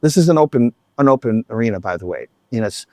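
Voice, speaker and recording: A man's voice: medium pitch, lively intonation, fast talker, darkish tone, no room sound, clean studio sound. A man telling a concert story in a casual, rambling vlog chat, full of restarts and asides. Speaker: vlogger.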